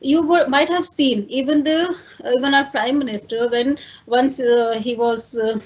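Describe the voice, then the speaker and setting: Indian woman lecturer, native Hindi speaker, high and slightly husky, explains step by step and checks in with "fine". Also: normal speed, muffled recording. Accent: Indian English. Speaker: teacher. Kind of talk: online lecture.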